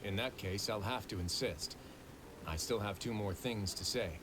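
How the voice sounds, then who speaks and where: A man playing a wry, low-voiced warden. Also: normal speed, background noise, close to an everyday voice. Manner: relaxed, wry and persuasive. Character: confident, easygoing male prison warden. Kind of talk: video game voice acting.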